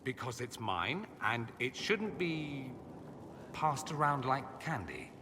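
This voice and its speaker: Proud, defiant male game character: bright, forceful delivery, indignant and emphatic, long reverb. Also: medium pitch, very animated, takes it slowly, full character voice. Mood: defensive, firm. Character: proud, defiant man refusing to owe anyone. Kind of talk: dramatic video game cutscene acting. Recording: long reverb, faint noise.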